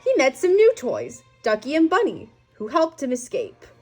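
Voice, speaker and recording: Lively, high-voiced woman reading a storybook. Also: moderate pace, slightly rough. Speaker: storybook reader. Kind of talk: scripted read-aloud narration of a children's story. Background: music.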